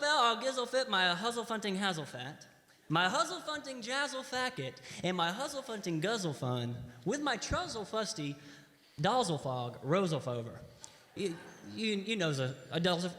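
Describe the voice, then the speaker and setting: Eager, hesitant Canadian yarn-spinner male actor, comedy stage sketch, high voice, big reverberant room. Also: big pitch swings, moderate pace, pushed and bright, full character voice. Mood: eager, animated. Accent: exaggerated Canadian. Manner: hesitant, folksy, ends phrases with "eh". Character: folksy Canadian storyteller man, rambling and eager. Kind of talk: live comedy sketch storytelling. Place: large hall, live stage show. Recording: echoey hall, live stage sound.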